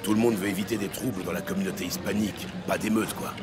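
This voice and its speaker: An actor playing a hard, cynical cop who swears and talks in street slang in a French audio drama. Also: male voice, low voice, steady tone, fast, close to an everyday voice. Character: hard, defiant cop, cynical and menacing when crossed. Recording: loud music behind the voice.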